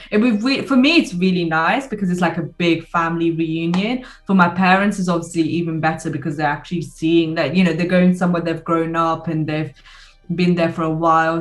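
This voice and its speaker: A woman's voice: fairly low pitch, distant mic. A woman in her twenties with a British accent, a guest chatting casually in an interview, hesitant with lots of fillers and frequent laughter. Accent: British English. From United Kingdom. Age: 20s.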